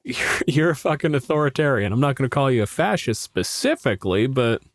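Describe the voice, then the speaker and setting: A man's voice: mid-range voice, animated, a little fast, no room sound. Wry male podcast host, very dry sound. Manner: wry, profane, explanatory. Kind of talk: casual chat.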